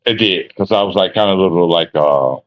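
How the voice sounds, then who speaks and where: A man with a deep voice, a guest in a casual livestream chat, laid-back and repeating his phrases. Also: brisk. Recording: dull lo-fi call audio.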